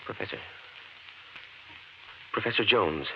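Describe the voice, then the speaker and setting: A muffled old radio recording carries a gentle, steady young husband. His voice is fairly low, firm and soothing. He sounds practical and calm, in classic radio drama style. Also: slow pace, creaky, a little hiss or hum, full character voice. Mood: grave.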